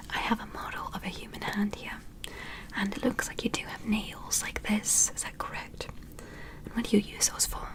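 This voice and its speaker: A woman playing a polite, curious alien medical examiner in an ASMR roleplay, speaking in a breathy whisper with a gentle, clinical, questioning manner. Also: medium pitch, lightly acted, low-quality recording.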